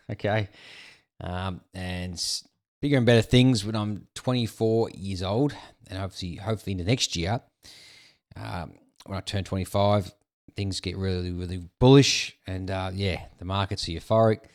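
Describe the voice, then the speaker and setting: A young Australian man in his twenties casually talking through market charts, rambling with drawn-out fillers and a frequent "okay". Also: deep voice, fairly even intonation. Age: 20s. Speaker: crypto video host.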